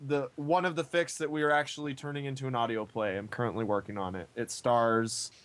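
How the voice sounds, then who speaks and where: A man's voice: medium pitch, fairly even intonation, fairly bright tone. A man chatting casually as a participant in a group podcast, rambling and stammering as he repeats his words. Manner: rambling, halting, stammering repetitions. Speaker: podcast participant, amateur voice actor.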